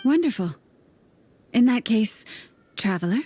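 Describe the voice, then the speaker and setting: A woman's voice: on the high side, unhurried. A woman playing an earnest, adoring young woman in an anime game, speaking with gracious formality. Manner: formal, expressive.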